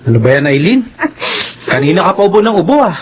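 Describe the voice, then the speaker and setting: A man's voice: fairly high pitch, big pitch swings, fast, highs cut off, a little hiss or hum. A man playing a dismissive, nagging young older brother in a Filipino radio drama, with a Filipino accent. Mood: impatient.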